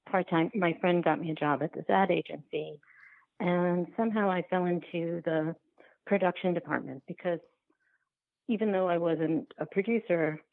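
A woman being interviewed as a filmmaker, talking reflectively and haltingly as she thinks aloud and rephrases. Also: lowish voice, vocal fry, no echo. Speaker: guest, documentary filmmaker. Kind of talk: reflective podcast interview conversation. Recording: thin, phone-like line.

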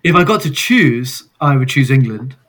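A man's voice: medium pitch, expressive, normal speed, a bit bright. A young adult man, a soccer player raised abroad, answering interview questions in a rambling way with many fillers and restarts. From born in Scotland, raised in Singapore. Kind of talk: casual podcast interview.

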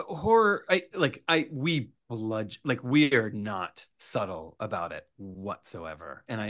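Guy with a low voice, chatting on a podcast, animated, rambly, keeps stopping and restarting, swears a bit. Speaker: writer, podcast guest. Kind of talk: casual podcast interview chat.

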